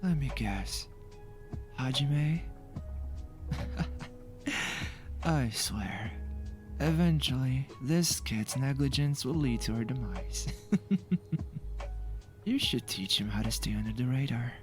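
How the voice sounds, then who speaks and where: A man playing a flamboyant, teasing ghoul mask maker in an anime audio roleplay, theatrical and playful with breathy asides. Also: medium pitch, slow, pauses often, natural voice. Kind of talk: anime fan audio roleplay with ASMR touches. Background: faint music.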